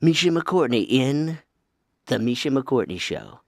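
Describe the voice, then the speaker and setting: A man's voice: mid-range voice, big pitch swings, a little slow, creaky, noisy background. A man co-hosting a show, playful and rambling, chatting casually. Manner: playful and rambling, many fillers and restarts. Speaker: podcast co-host. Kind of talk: casual chat.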